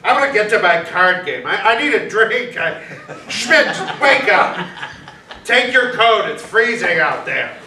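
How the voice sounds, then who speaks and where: Bullying older police chief, acted by a man, animated and sharp, impatient; stage reading in echoey room. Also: high voice, unhurried, exaggerated voice. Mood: exasperated. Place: small hall, staged reading before an audience. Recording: echoey room from far off, faint noise.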